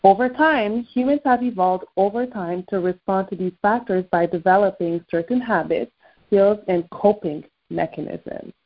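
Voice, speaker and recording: A woman's voice: mid-range voice, moderate pace, dull audio. A woman from Quebec with a Québécois French accent, a native French speaker, hosting an upbeat morning motivational call and coaching her listeners. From Quebec, Canada. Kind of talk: motivational talk.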